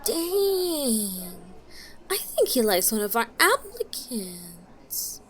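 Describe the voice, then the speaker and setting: Woman as a high-pitched, feisty adventurer. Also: big pitch swings, very slow, a bit bright, a little hiss or hum, exaggerated voice. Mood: amused. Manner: exclaiming, teasing. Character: feisty, outspoken, teasing female adventurer. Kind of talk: fantasy audio drama acting.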